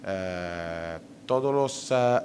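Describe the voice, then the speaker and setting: A man's voice: deep voice, expressive, drawn-out words, a little mellow, slight background noise. A man from Italy with an Italian accent, speaking Spanish as a second language in a conference presentation as an ornithologist, hesitant with many fillers and restarts.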